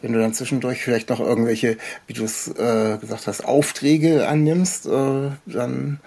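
A man interviewing casually and unscripted, halting often as he searches for the right words. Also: medium pitch, steady tone, vocal fry, mellow, slightly rough. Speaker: interview host.

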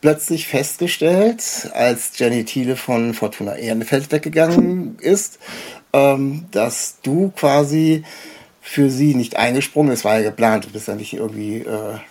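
Mellow, rambling enthusiastic podcast host. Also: medium pitch, unhurried. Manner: hesitant, rambling, enthusiastic fan talk. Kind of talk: casual interview talk.

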